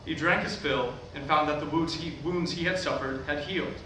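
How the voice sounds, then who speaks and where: A man's voice: a little high, brisk, sharp tone. Far-off, echoing showy preacher played by a man. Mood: solemn storytelling. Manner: declamatory, emphatic salesman-preacher delivery. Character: showy middle-aged evangelist preacher hawking miracle water. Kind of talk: theatrical sermon on a stage. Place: staged reading in a hall, recorded from afar. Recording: distant echoey hall, noisy room recording.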